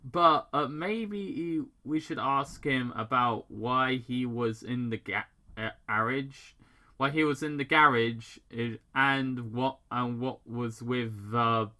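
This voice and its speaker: A man's voice: medium pitch, slow, sharp tone. A man voicing a sarcastic, curious teenage girl from a fan fiction story, in a questioning manner. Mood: surprised, questioning.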